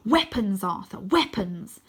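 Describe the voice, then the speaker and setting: A woman voicing a bossy, excitable and reckless boy sidekick in a storybook, full of exclamations. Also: mid-range voice, slow, husky, full character voice. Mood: bold, excited.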